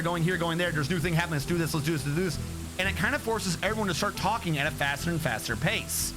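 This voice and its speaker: A young man with a high voice rambles chattily to his stream chat, stopping often to correct himself. Music plays clearly behind him as he talks. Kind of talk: casual livestream chat.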